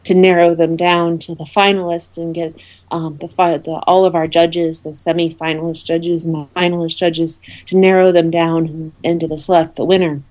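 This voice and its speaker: Woman, interview guest, slightly low pitch, soft dark tone, earnest explanatory delivery. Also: level tone, moderate pace. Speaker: interview guest, awards committee representative.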